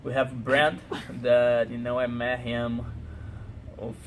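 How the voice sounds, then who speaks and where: A man from Brazil with a Portuguese accent, speaking halting English full of "you know" in a casual interview. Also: mid-range voice, monotone, slight background noise. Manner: frequent "you know" fillers, halting non-native English. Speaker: pro fighter.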